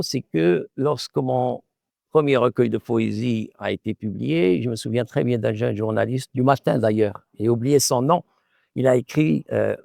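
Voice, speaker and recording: A middle-aged Moroccan-born man whose first language is Arabic speaks in a deeply gravelly voice. He answers an interviewer thoughtfully, circling and repeating words as he works out his point. The recording is dry, with no room sound. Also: a little mellow, no echo. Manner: thoughtful, repeats words while searching for phrasing. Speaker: author and professor.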